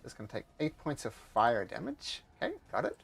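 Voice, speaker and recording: A man's voice: mid-range voice, huge pitch swings, fairly quick, creaky, slight background noise. A man from New Zealand with a hard-to-place mixed accent, running a tabletop game as game master and calling out dice rolls in a laid-back way. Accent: mixed international accent.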